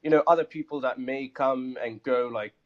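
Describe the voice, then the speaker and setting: A man from Morocco, raised abroad, talking casually about his crypto project in a halting way full of ums and restarts. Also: medium pitch, muffled recording. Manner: halting, many fillers and restarts. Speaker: project founder guest. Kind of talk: casual live audio chat.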